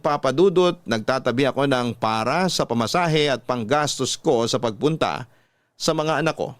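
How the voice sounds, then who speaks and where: A man's voice: mid-range voice, no echo, natural voice. A man voicing a humble hardworking father who tells his life story in earnest, reflective dramatic narration. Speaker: narrator of a radio drama letter. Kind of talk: dramatic first-person scripted narration.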